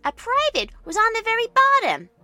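A woman's voice: extremely high pitch, huge pitch swings, normal speed, pushed and bright, dry recording, heavily acted. A woman voicing a bossy, self-important little girl who plays army general, telling her story in an earnest, pompous, childlike way. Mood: earnest, boastful. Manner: earnest, pompous, childlike narration with barked orders. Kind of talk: first-person story narration. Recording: music behind the voice.

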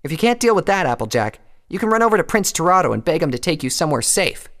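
A brash female pegasus pony from a fantasy story, voiced by a man, pitched well above an everyday male voice. The delivery is scornful, taunting and emphatic, pushed out loudly with a harsh edge. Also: big pitch swings, quick pace. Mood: defiant, sneering.

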